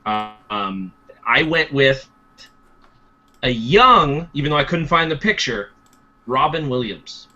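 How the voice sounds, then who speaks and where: Man, tabletop player, enthusiastic, explaining, bright voice, dull video call audio. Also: mid-range voice, lively intonation, takes it slowly, long pauses, soft background music. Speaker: tabletop game player and channel host. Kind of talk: casual chat on a game show.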